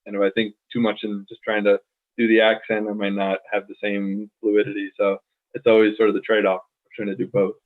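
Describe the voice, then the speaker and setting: A man from the United States co-hosting a casual chat, thoughtful and pausing often with "um". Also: lowish voice, little pitch movement. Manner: thoughtful, many "um" fillers. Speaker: podcast co-host. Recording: tinny phone-like line.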